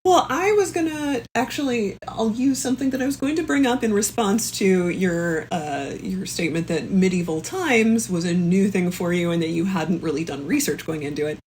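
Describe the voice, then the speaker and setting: A woman's voice: mid-range voice, normal speed, few pauses, darkish tone, a little hiss or hum. A woman talking as a podcast guest in casual conversation, speaking informally with plenty of likes and the odd swear word. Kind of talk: casual chat.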